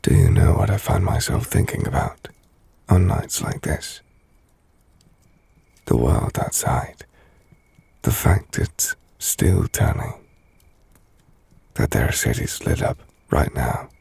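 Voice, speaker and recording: Gentle, brooding male vampire noble, acted by a man, ASMR roleplay. Breathy, husky, unhurried and measured, close and intimate. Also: low-pitched, huge pitch swings, dry recording, heavily acted. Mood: reflective, gentle. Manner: slow, hushed, measured, formal. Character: brooding, gentle, melancholy male vampire-blooded castle lord. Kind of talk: ASMR audio roleplay, intimate scripted monologue.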